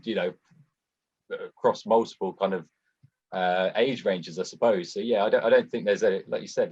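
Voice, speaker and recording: A man interviewing in a casual, halting, conversational way. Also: fairly low pitch, darkish tone, slightly rough. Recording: slightly muffled, dull sound.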